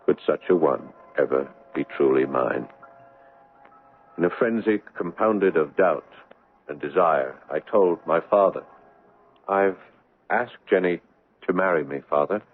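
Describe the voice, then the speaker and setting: A troubled young doctor in a vintage radio play. His low voice has a rough-edged, husky tone and an intensely earnest manner. The broadcast sounds dull and narrow. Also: full character voice. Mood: troubled narration. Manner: earnest, agitated, halting in dialogue. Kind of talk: 1970s radio drama acting with first-person narration.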